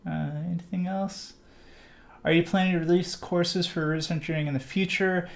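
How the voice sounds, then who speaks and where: Gravelly Canadian man rambling casually. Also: sits high, steady tone, normal speed. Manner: rambling with many fillers and restarts. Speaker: livestream host. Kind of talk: casual live Q&A chat.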